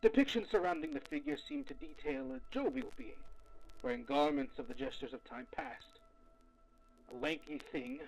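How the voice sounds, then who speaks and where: A gravelly, fairly high-voiced investigator played by a man dictates field logs for an audio drama. He is wry and jaded, speaking in formal, articulate phrases with lively swings in pitch. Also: rough recording. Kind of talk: scripted audio drama log narration.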